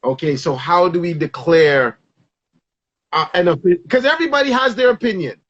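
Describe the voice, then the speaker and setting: A man's voice: sits high, expressive, moderate pace, a bit bright. A man in his forties hosting an interview, asking short questions and agreeing along. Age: 40s. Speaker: host. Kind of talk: casual interview chat. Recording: dull lo-fi audio.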